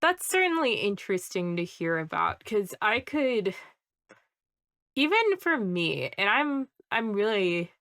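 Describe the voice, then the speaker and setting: A woman's voice: medium pitch, very animated, unhurried, a bit bright, no room sound, clean studio sound. A woman in her early twenties hosting an interview, asking friendly, curious questions in casual chat. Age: early 20s. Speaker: interview host, webcomic YouTuber.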